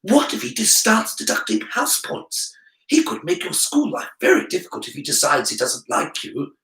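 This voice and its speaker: A man's voice: sits high, huge pitch swings, moderate pace, vocal fry, bright, forceful tone, pitched above the performer's own voice, exaggerated voice. A man voicing a boy's inner voice, urging and slyly persuasive, in a storybook reading. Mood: worried. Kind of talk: storybook character voice in audiobook reading. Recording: roomy, distant from the mic.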